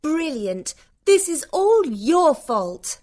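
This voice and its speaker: An actress plays a cynical young woman in a dramatised story. She is cutting and easily irritated, drawing out her lines at a slow pace, with pitch that rises and dips widely. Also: sing-song. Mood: exasperated. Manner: sarcastic, cutting. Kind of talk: acted audiobook dialogue.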